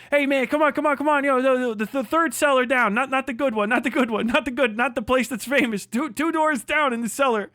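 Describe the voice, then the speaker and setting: A man with a high, sharp voice rants casually as a livestream host, swearing freely and hammering points by repeating them. The sound is close and very dry, with a forceful edge to the delivery. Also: a little fast, hardly any pauses, no room sound. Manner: profane, emphatic, repeats phrases for emphasis. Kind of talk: casual livestream commentary, comedic ranting.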